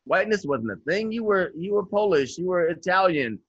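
A man's voice: high voice, expressive, fairly quick, fairly bright tone, breathy edge, no echo, dull audio. A man in his fifties, a guest educator speaking in an interview over a video call, earnest and reflective, often restarting his phrasing. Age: 50s. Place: indoors by a window. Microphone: video call webcam.

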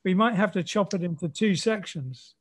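Guy with a high voice hosting a relaxed chat on a call, polite and appreciative. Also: normal speed. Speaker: conversation host. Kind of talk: casual conversation. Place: remote call.

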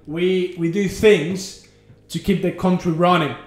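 High-voiced trucker man, animated chat. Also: a little slow. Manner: animated, talks in bursts, acts out dialogue. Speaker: trucker and channel host. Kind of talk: casual chat. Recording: echoey room with faint music.

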